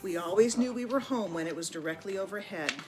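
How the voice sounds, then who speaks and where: A woman voicing a gentle, wistful mother reindeer in a picture-book story. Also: medium pitch, fast talker, faint music behind, natural voice. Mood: nostalgic.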